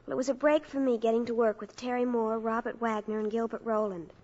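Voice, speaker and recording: A woman's voice: on the high side, fairly even intonation, fast talker, a little mellow. A very young woman, an actress guest giving scripted answers and endorsements on 1950s radio. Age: teens or young adult. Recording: dull 1950s radio recording.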